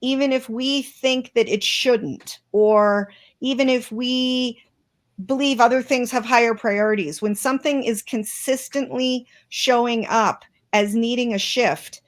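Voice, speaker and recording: Woman guest on an interview, higher voice, earnest, thinks out loud. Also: fairly even intonation, measured pace. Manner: earnest, reflective, many restarts. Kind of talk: interview conversation.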